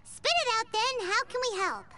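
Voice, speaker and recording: A woman voicing a tiny, cute, bossy fairy companion in a video game, squeaky, childlike and blunt. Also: very animated, normal speed, bright, forceful tone, full character voice. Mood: brisk. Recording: game dialogue with background music.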